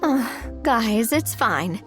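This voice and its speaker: A woman's voice: a little high, big pitch swings, drawn-out words, a bit bright, heavily acted. A woman playing a friendly, easygoing young female investigator in an anime video game. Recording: music playing behind the voice.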